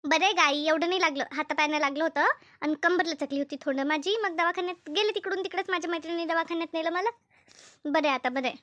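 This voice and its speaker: Woman voicing a shrill, grumbling cartoon village housewife. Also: fast, a bit bright. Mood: chatty. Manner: colloquial, repetitive, grumbling. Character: Shanta, complaining rural Marathi housewife and mother. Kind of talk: comedy cartoon dubbing, everyday domestic chat.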